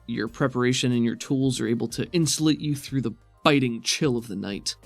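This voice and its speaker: A man's voice: medium pitch, brisk, gravelly, no room sound, faint music behind. A man speaking as game master, explaining rules and narrating in an easygoing, conversational way. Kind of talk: running a tabletop roleplaying game, explaining rules.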